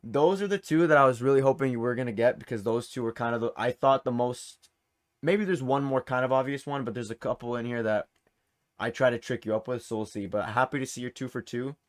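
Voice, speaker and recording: A man's voice: mid-range voice, brisk. A man with a mid-range voice acts as quiz host. He talks informally and casually, reading out questions and multiple-choice options as he goes. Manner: informal, reads questions and answer options aloud. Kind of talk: hosting a game trivia quiz in casual chat.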